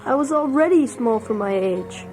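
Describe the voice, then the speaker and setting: A woman's voice: fairly high pitch, expressive, moderate pace, over music. A woman voicing an anxious, timid small schoolchild with a whiny, fretful manner in a children's story. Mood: whiny worry. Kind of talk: children's read-along audio acting.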